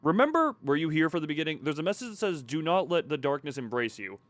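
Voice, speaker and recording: A man's voice: fairly high pitch, sing-song, fast talker, fairly bright tone, dry recording. A man giving casual live gameplay commentary, rambling, self-deprecating and thinking aloud. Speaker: video game streamer. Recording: faint background noise and game ambience.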